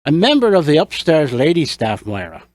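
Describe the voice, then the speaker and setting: A man voicing a shrewd, lusty young Irish pub owner in an audiobook, sly and coaxing in a measured way. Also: fairly high pitch, wildly swooping pitch, dry recording, pitched above the performer's own voice. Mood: startled, composed. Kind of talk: audiobook character dialogue.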